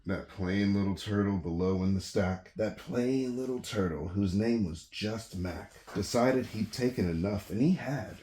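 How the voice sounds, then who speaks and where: A man reading a children's story aloud in an animated storytelling style. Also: lowish voice. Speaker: storybook reader. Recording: echoey room.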